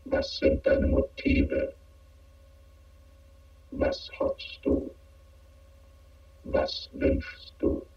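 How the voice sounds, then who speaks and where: A cold alien interrogator questions insistently in a science fiction radio play. The synthetic voice is utterly monotone and very slow, in a dull, echoing recording. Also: male voice, very high-pitched, full character voice. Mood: cold, probing. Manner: flat, clipped, insistent questioning. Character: cold emotionless alien interrogator probing human feelings. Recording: echoing, dull band-limited sound.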